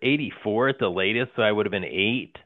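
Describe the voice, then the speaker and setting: A man in his forties co-hosting a podcast in casual chat, hesitant with many fillers and quick to laugh. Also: fairly low pitch, no echo. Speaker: podcast co-host. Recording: tinny phone-like line.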